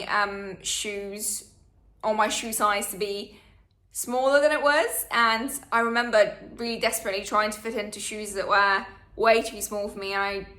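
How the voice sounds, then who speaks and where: A woman's voice: medium pitch, bright, forceful tone, echoey room. A woman, chatting through a Q&A for her channel with plenty of fillers. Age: 20s. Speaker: vlogger. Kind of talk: casual Q&A chat.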